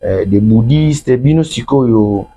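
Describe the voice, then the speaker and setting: A man's voice: medium pitch, slow pace, mellow, breathy edge. A man with a Congolese accent hosting a conversational interview, often interjecting and echoing what his guest says. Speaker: interviewer, host. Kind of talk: conversational interview, mixing French and Lingala.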